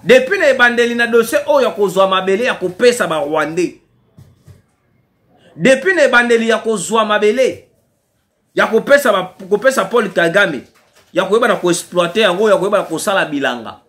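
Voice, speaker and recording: A Congolese-accented man delivers fiery political commentary with a high voice that leaps up and down. He presses his case hard and repeats key lines for emphasis. He sounds like a commentator addressing his online audience. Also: animated, moderate pace, fairly bright tone. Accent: Congolese French.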